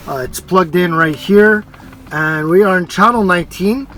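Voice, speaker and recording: A man's voice: sits high, moderate pace. Casual man explaining his truck install. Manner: relaxed, rambling, many filler restarts. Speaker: vlogger installing a CB radio. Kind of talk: casual how-to narration. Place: parking garage beside his truck.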